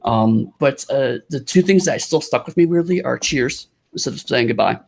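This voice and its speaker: A man talking as a podcast guest in casual chat, halting and rambling with lots of restarts and fillers. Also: mid-range voice, expressive, gravelly, darkish tone.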